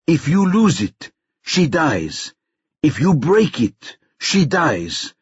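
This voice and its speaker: Audiobook kidnapper, played by a male narrator: menacing, commanding, husky-edged, controlled delivery. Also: on the high side, no room sound, dull audio, pitched above the performer's own voice, exaggerated voice. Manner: deliberate, commanding, threatening. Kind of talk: audiobook character dialogue.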